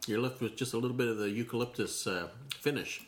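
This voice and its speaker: A man's voice: medium pitch, fast. A man, a podcast co-host making wry, casual tasting commentary. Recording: faint background noise.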